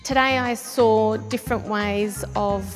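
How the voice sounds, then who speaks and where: A woman's voice: on the high side, fairly even intonation, measured pace. A woman with an Australian accent, speaking as a contestant in a documentary interview. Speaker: barista competition contestant. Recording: clear music under the voice. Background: music with drums.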